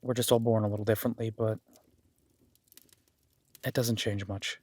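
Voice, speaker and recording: A man's voice: on the low side, level tone, normal speed, a little mellow, no echo, natural voice. A man playing an awkward, self-deprecating young werewolf duke in a roleplay audio drama, stammering and apologetic, speaking informally to the listener. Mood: earnest, reassuring.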